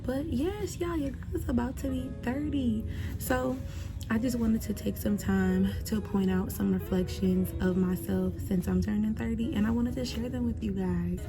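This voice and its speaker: A woman's voice: on the high side, fairly even intonation, moderate pace, music in the background, low-quality recording. A woman, talking casually and directly to her viewers in a reflective vlog. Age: 20s. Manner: chatty, direct address to viewers. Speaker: vlogger.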